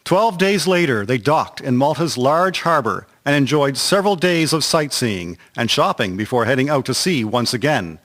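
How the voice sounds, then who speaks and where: A man's voice: mid-range voice, expressive, normal speed, hardly any pauses, fairly bright tone. A man reading scripted historical narration for a radio drama series.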